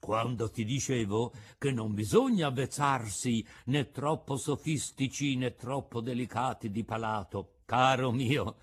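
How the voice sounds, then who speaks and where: A man voicing a kind, patient old woodcarver in a storybook reading, speaking slowly and gently with a lecturing tone. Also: medium pitch, slightly rough. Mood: gentle.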